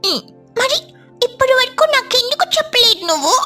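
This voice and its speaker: Casting: tiny schoolgirl sparrow, squeaky and bright, greedy chatterbox, children's cartoon with music behind. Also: big pitch swings, brisk, exaggerated voice. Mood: delighted, curious. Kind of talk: children's cartoon story dialogue.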